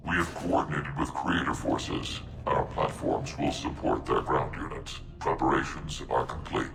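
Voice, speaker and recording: A man playing a logical machine intelligence in a video game, speaking in a measured, formal, emotionless way. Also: rumbling low, sing-song, vocal fry, roomy sound, heavily acted. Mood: calm, resolute. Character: calm, logical male-voiced machine intelligence soldier. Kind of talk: video game dramatic acting. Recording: game dialogue over music.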